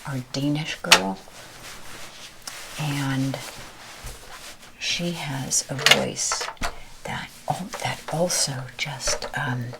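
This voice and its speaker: A woman whispering a rambling ASMR chat while ironing, her voice with a deeper timbre. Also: vocal fry, heavy background noise. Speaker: ASMR creator. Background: ironing noises.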